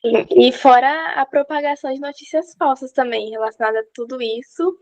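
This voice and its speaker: A woman's voice: sits high, fairly quick, a little mellow. A woman interviewer chiming in casually, adding points in long run-on sentences.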